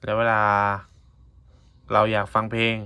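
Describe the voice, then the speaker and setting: A man's voice: on the low side, monotone, measured pace, vocal fry, bright, forceful tone, clean tone. A man giving a casual hands-on tutorial, explaining informally step by step in short phrases. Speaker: vlogger giving a product tutorial.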